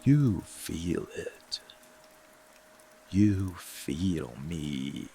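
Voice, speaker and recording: A man playing a possessive, protective monster lover in dark boyfriend ASMR, hushed and gravelly, often slipping into whispers. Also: low voice, sing-song, full character voice. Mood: soothing, protective. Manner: hushed, breathy, slow soothing menace, often whispering. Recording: music and rain bed behind voice. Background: music, rain sounds.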